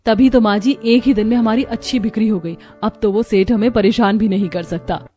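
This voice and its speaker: A woman's voice: medium pitch, steady tone, a little fast, mellow, lightly acted. A woman playing an earnest, cheerful young wife who works as a street vendor in a cartoon story. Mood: content, caring. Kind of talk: animated moral story dialogue. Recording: music behind the voice.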